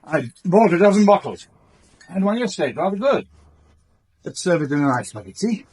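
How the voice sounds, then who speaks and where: An actor playing a smooth-talking, evasive married lover pleading with his mistress in a radio drama, stammering when pressed. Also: sits high, very animated, normal speed, a little mellow, heavily acted. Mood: smooth, attentive.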